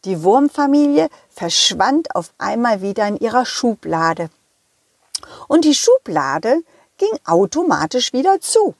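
A woman tells a children's story with a high, highly animated voice. She narrates expressively and takes her time, and the recording is close and dry. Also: a little slow, no room sound.

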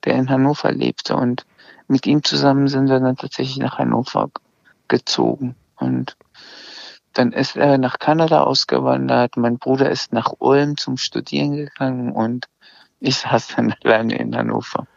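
A man's voice: mid-range voice, flat delivery, normal speed, vocal fry, no room sound. A middle-aged man from Iran, Azerbaijani speaker, telling his story hesitantly in a casual interview, with many restarts and fillers. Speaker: interview guest. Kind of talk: casual conversation. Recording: slightly dull lo-fi sound.

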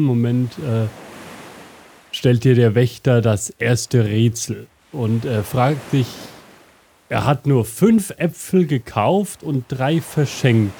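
A man hosting an interactive audio adventure as game master, with a rambling, absent-minded, deadpan delivery. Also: medium pitch, a little hiss or hum. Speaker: game master and show host.

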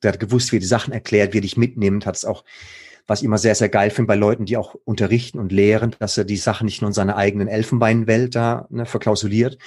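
A man's voice: fairly low pitch, fairly even intonation, fast talker, darkish tone, husky. A man, a mental coach talking casually and enthusiastically in an interview. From Baden, Germany. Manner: enthusiastic, rambling, slangy.

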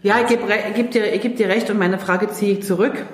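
A woman's voice: mid-range voice, steady tone, a little fast. Playful woman podcaster in an echoey room. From East Germany. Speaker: podcast host. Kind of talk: casual interview chat.

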